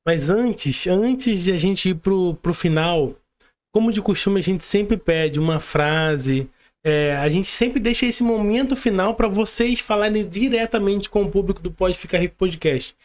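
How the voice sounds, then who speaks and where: A man's voice: sits high, unhurried. A Brazilian man hosting a podcast casually, with an informal, emphatic manner and repeated phrases. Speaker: podcast host.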